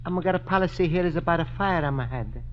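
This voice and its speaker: A high, earnest actor's take on an Italian immigrant man, naive and anxious, pleading in broken English with an Italian accent. It is 1950s radio sitcom acting, heard through a dull, noisy old recording. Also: fast, lightly acted. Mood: polite, hesitant.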